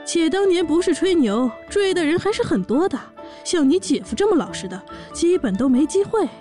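Woman playing brash, high-voiced orc warrior big sister. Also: very animated, moderate pace, warm tone, soft background music. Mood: nostalgic, boastful. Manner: sassy, blunt, bossy. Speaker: voice actor in a fan audio drama. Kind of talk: audio drama acting and narration.

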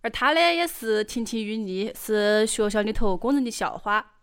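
A woman's voice: a little high, animated, moderate pace, smooth. A woman with a Chongqing accent giving scripted narration for an audio drama.

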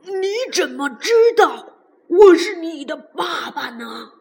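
A woman voicing a big, gruff male T-rex who acts tough but cares for a little one, in a storybook reading. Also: very high-pitched, sing-song, slow pace, full character voice. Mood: puzzled. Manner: gruff, blustering, softening. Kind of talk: storybook read-aloud.

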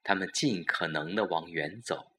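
A man giving a measured, dramatic scripted narration of a suspense story. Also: sits low, pushed and bright.

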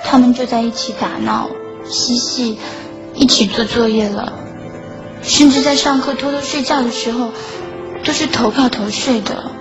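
Casting: woman playing schoolgirl with unspoken love, higher-pitched, earnest and self-effacing, radio drama, music behind. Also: steady tone, normal speed, lightly acted. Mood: wistful. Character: lovelorn schoolgirl narrating her quiet heartbreak. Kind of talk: Chinese radio drama acting with inner monologue. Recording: dull lo-fi audio under clear music.

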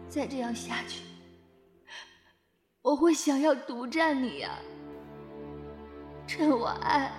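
A woman playing a jealous, possessive schoolgirl in an audio drama, with an emotional, dramatic delivery. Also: high voice. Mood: sorrowful. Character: jealous, possessive schoolgirl hurt by her closest friend. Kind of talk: Chinese audio drama acting.

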